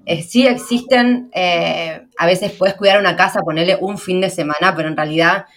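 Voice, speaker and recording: A young woman with an Argentine Spanish accent, hosting a podcast in fast, slangy, chatty talk. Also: lowish voice, fairly bright tone. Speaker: podcast host. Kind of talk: casual podcast chat.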